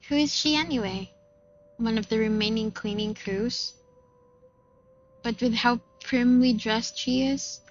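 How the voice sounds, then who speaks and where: A woman with a fairly high voice reads visual novel narration aloud as a game streamer, mixing in casual reactions. The audio is slightly dull. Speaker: gaming streamer reading aloud.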